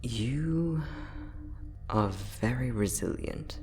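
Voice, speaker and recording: A man playing a friendly, teasing time-traveling inventor, soft-spoken and chatty in an ASMR roleplay audio drama. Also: mid-range voice, lively intonation, extremely slow, soft background music. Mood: warm, sincere. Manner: soft-spoken, chatty, playful, with frequent chuckles.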